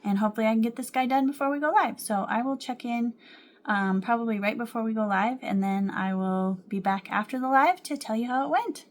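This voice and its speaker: A woman's voice: a little high, a little fast. A young adult woman chatting casually to camera at home about her crochet projects, with frequent ums and small laughs. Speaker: crochet vlogger.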